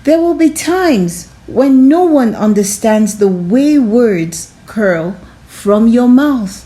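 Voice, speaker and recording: Slow, mellow woman reading a storybook. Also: medium pitch. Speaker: read-aloud storyteller. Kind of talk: children's storybook read-aloud.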